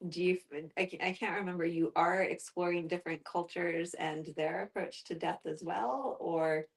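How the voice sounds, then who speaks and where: A woman with a slightly low voice speaks in a casual discussion. She asks tentatively, restarting as she shapes her question. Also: steady tone, moderate pace.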